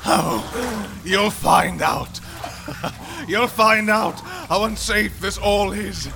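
An actor playing a menacing, obsessed old miner with a gravelly voice in a radio drama. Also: male voice, high voice, extremely slow, exaggerated voice. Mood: gloating threat. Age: older. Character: menacing, obsessed old male Cornish-mine miner, half mad.

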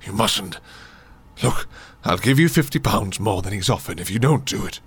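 A male actor plays an old mine owner from a radio drama, obsessive and insistent. His voice is rough and husky, fairly low, and he pleads and repeats himself as though his whole life hangs on it. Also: expressive, normal speed. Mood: urgent, pleading. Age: older.

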